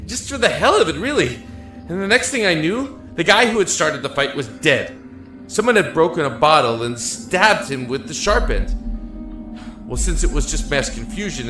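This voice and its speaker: An actor playing an earnest, anguished young man locked in a dark cell, speaking haltingly and emotionally in an audio drama. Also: medium pitch, roomy sound, close to an everyday voice. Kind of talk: audio drama acting and first-person narration. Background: music.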